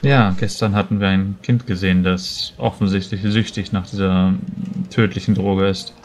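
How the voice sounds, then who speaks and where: A man playing a tabletop roleplaying game, improvising dialogue in a measured, formal and somewhat hesitant way. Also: low voice, little pitch movement.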